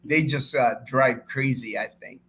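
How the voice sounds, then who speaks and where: A man's voice: mid-range voice, unhurried, fairly bright tone. Middle-aged man chatting over background noise. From Philippines, born and raised in Manila until twelve. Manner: informal, repetitive, often thanking viewers. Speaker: live stream host. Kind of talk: casual live chat, answering viewers.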